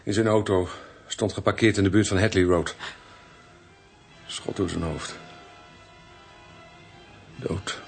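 A man's voice: deep voice, fairly even intonation, slow, creaky, pauses often, faint music behind, full character voice. An actor playing a terse, grim junior male police detective in a radio drama.

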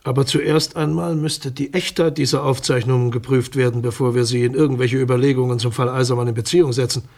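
An actor playing a formal, guarded public prosecutor in a radio crime drama, officious and sceptical, with a husky voice. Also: male voice, mid-range voice, steady tone, fast, few pauses, a little mellow.

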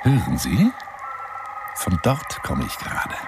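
An actor playing a lonely, boastful bachelor big-game hunter on the phone in a radio drama monologue, courtly, theatrical and insistent. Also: male voice, low-pitched, huge pitch swings, takes it slowly, vocal fry, heavy background noise, full character voice. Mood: courtly, probing.

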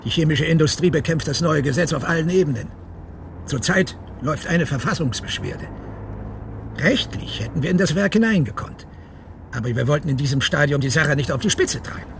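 Wary police inspector for radio drama: higher-pitched, expressive, probing, faint vehicle noise around him. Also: male voice, creaky. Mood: explaining, measured. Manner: explanatory, deliberate, probing. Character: measured, shrewd male police inspector, wary official. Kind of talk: German radio crime drama dialogue. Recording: noisy vehicle interior ambience. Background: car driving noise.

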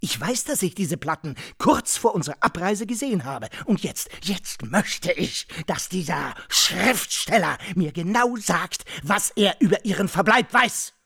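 An actor playing an indignant, self-righteous, pedantic homeowner in a radio play, speaking pompously and with heavy emphasis. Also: male voice, high-pitched, expressive, a little slow, vocal fry, hardly any pauses, bright, forceful tone, husky, no room sound, studio quality, exaggerated voice. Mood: stiffly accusing. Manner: pompous, emphatic, repeating key phrases for weight. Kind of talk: German radio play acting.

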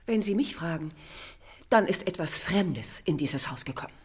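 A woman's voice: on the low side, animated, moderate pace, darkish tone, breathy edge. A woman playing a proud, defensive household cook in a Victorian radio crime drama, emphatic and self-righteous. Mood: uneasy, defensive.

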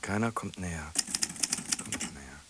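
An actor playing a solitary man musing aloud in a radio play, halting, repeating phrases and muttering questions to himself. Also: deep voice, very noisy. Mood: pensive, searching. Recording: music playing behind the voice.

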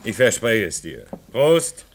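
An actor playing a restless, homesick man at a polar station in a German radio drama, brooding and theatrical, breaking into forceful exclamations. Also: fairly low pitch, sing-song, slow pace, heavily acted. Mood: defiant, forced cheer.

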